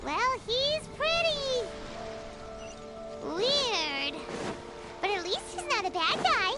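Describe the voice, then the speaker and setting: Tiny game fairy: squeaky, very high, slow, childlike, frank. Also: female voice, sing-song, over loud music, full character voice. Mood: blunt. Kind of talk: video game voice acting.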